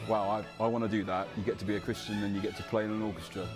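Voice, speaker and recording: A man's voice: lowish voice, little pitch movement, racing. A middle-aged man, a musician, talking casually in an interview. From Southeast London. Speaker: musician, conductor. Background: music under the voice.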